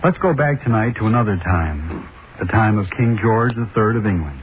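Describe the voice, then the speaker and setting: A man narrating the opening of a 1930s horror radio play in a measured storytelling way, heard through a tinny old recording. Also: on the low side, lively intonation, over music.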